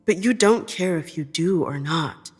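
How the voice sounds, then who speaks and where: A woman narrating scripted second-person fiction in a measured, deliberate storytelling manner. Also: on the low side, a little mellow, slightly rough, faint music behind.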